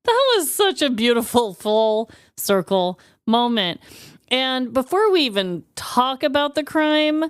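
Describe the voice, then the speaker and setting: A woman co-hosting a podcast, animated and swearing casually, laughing often. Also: high-pitched, slow, clear, resonant tone, no echo, clean studio sound. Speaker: podcast co-host.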